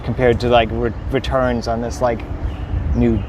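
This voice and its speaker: A Danish-born man with an American accent tells stories eagerly, rambling and full of fillers. His voice sits at a middling pitch for a man. He was recorded outdoors at a busy pier, with traffic clearly audible behind him. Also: little pitch movement, normal speed. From Denmark. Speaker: podcast guest. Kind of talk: casual conversation. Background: city traffic.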